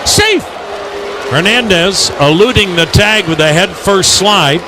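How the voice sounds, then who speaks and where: American male play-by-play announcer, fairly high pitch, conversational then clipped, with clear background noise. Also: lively intonation, slow pace, crowd noise. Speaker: baseball radio play-by-play announcer. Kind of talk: live commentary with conversational asides. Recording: clearly noisy ballpark broadcast.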